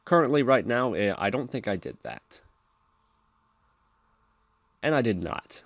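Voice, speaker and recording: A man's voice: medium pitch, big pitch swings, measured pace, dry recording. A man hosting a programming tutorial, narrating step-by-step instructions in a screencast style.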